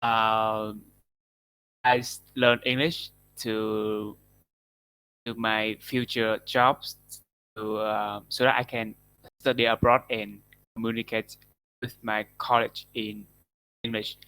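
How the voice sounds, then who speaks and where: A young man from Vietnam, introducing himself hesitantly in halting learner's English in an online class. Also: on the low side, flat delivery, sharp tone, slight background noise, rough recording. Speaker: student. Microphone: online voice chat.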